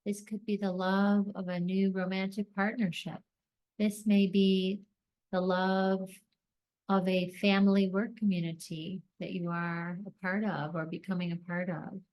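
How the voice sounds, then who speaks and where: A woman leading a guided meditation, speaking gently in deliberate short phrases with long pauses between them. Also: mid-range voice, little pitch movement.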